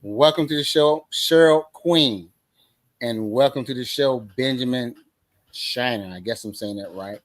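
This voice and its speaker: A man's voice: medium pitch, a little slow, no echo. Dry-sounding man hosting an interview. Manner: repeats words, "awesome, awesome". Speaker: show host.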